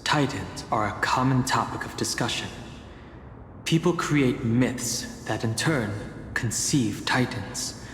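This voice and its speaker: An actor playing a calm, analytical young traveler in a fantasy video game, speaking in a measured, contemplative way. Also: male voice, mid-range voice, a little hiss or hum, natural voice. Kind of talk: English-voiced fantasy game dialogue and monologue. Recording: echoey reverb, music underneath.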